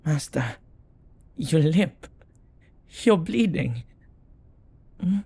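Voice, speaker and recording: A man voicing a flirty, devoted demon in an intimate romantic audio roleplay, speaking formally and reverently in a husky voice that often drops to a whisper. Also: a little high, very animated, dark tone, full character voice. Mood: tender, curious. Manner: slow, formal, reverent phrasing. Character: jealous, flirty, devoted male demon bound to master.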